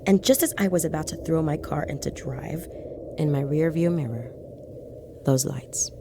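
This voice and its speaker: A woman's voice: low-pitched, moderate pace. An actress playing an uneasy woman driving alone at night, telling her strange story in a hesitant, reflective way for an audio drama. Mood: haunted, stunned. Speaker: lead actress narrating in first person. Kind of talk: dramatic audio fiction monologue and dialogue. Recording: clear vehicle and road ambience noise.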